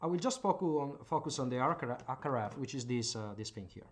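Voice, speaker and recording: A man's voice: mid-range voice, lively intonation, a little fast. A man from Italy with an Italian accent, explaining radio gear at his home workbench in a hesitant way, full of filler sounds. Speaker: hobbyist channel host. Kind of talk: casual explanatory demo.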